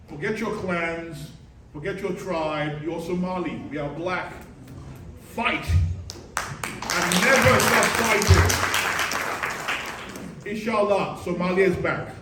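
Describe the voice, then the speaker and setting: A man's voice: sits high, a bit bright. A man giving a rousing political speech, emphatic and exhortative, repeating his phrases for effect. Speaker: political speaker at a community reception. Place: reverberant reception room, speaking to a gathering. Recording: echoey, distant hall recording with background noise.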